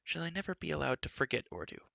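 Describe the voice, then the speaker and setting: A rueful bard grumbles out dry complaints in a raised voice, as a man reading a storybook puts him on. A gravelly edge runs through it. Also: a little high, sing-song, fast, sharp tone, no room sound, lo-fi sound, voice pushed up, full character voice. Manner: wry, grumbling.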